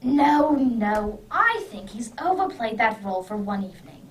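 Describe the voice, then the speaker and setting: A woman playing a brisk, impatient, clever male detective with theatrical emphasis in an amateur radio drama. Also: a little high, big pitch swings. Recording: distant microphone, background noise.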